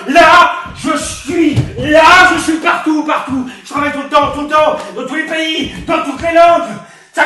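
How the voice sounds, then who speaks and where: A man playing a volatile, domineering theatre director in a theatrical, hectoring, repetitive way, swinging between hushed intensity and shouting. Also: mid-range voice, lightly acted. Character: domineering, volatile male theatre director berating his actress. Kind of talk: theatrical stage acting. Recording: roomy, distant mic with background noise.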